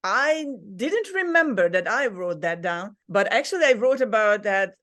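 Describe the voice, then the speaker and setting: A woman's voice: medium pitch, very animated, moderate pace, a bit bright. A woman from Hungary, a native Hungarian speaker, sharing her story as a podcast guest over a video call, reflective and laughing often. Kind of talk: casual interview. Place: her childhood room.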